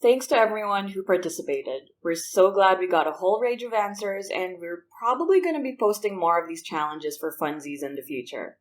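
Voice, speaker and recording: A woman's voice: mid-range voice, expressive, a little fast, few pauses. Female podcast co-producer, mid-range voice, chatty and upbeat, reading announcements to listeners. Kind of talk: scripted podcast outro announcements.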